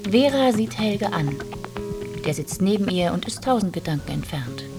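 An actress as the narrator of a bleak domestic story in a literary radio drama. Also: female voice, on the low side, sing-song, normal speed. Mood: pensive. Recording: loud music under the voice.

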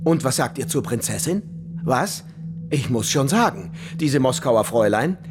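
An actor playing a jealous, boastful young gossip, declamatory and self-important, in a radio drama. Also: male voice, mid-range voice, expressive, normal speed, over music, natural voice. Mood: smug, scandalized. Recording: clear background ambience noise.

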